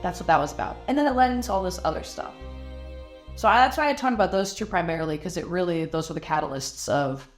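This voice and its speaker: A woman from Lebanon talks about her paintings in a documentary interview, pausing and restarting as she works through her thoughts. Her voice sits a little on the low side. She is a working artist. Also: expressive, soft background music. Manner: reflective, halting, with frequent restarts.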